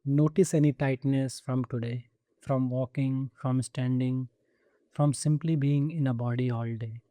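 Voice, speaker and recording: Very dark, soft-toned man guiding meditation. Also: medium pitch, fairly even intonation, no room sound. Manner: soothing, gentle instruction with measured pauses. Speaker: meditation guide. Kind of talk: guided body scan meditation.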